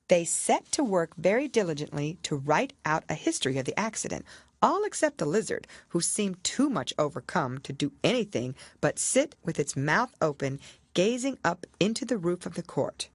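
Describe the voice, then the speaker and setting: A woman narrating a children's storybook as an audiobook, with expressive delivery. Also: low voice, dry recording.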